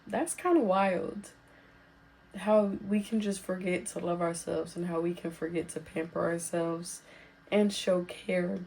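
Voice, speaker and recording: A woman hosting a podcast as casual solo girl talk, informal and reassuring, her voice slightly raspy as she recovers from being sick. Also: lowish voice, darkish tone, poor audio. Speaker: podcast host.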